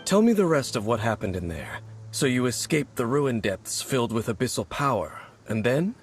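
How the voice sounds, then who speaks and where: Casting: male actor for stoic mysterious game swordsman, mid-pitched voice, formal and grave, music behind. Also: sing-song, moderate pace, natural voice. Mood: grave, composed. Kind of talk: video game voice acting.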